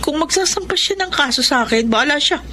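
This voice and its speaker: Defiant wife and mother, high voice, melodramatic, radio drama, noisy ambience. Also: animated, fast, sharp tone. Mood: dismissive, defiant. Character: defiant, emotional wife and mother with gambling vice. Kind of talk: Tagalog radio drama acting. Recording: noticeable background noise and ambience.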